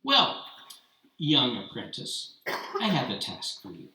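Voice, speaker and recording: A man's voice: mid-range voice, huge pitch swings, slow pace, sharp tone, a little hiss or hum, heavily acted. A man voicing a cruel, haughty tsar who talks in an imperious, mocking way. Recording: roomy, distant mic.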